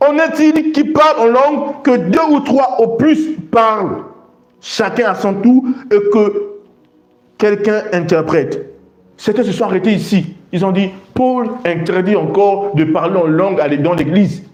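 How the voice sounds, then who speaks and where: A man's voice: sits high, measured pace. A man preaching a sermon, reading and explaining scripture emphatically and repeating phrases for stress. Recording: echoey room sound.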